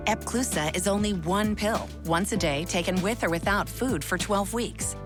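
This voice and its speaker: A woman's voice: medium pitch, moderate pace. Woman narrating a commercial over music. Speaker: advert narrator. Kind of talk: scripted drug commercial narration.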